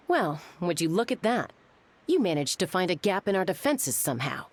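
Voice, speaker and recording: A woman's voice: lowish voice, very animated, moderate pace, a little hiss or hum. A woman playing a cocky, taunting rival clan fighter in a video game, full of confidence. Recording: game music behind.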